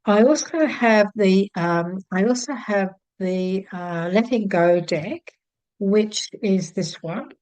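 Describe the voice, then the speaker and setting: A woman's voice: mid-range voice, slow, dry recording. Chatty older Australian woman, dry close sound. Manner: chatty, warm-hearted, many fillers and restarts. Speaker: psychic channel host. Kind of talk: casual talk to camera. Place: home room with shelves of card decks.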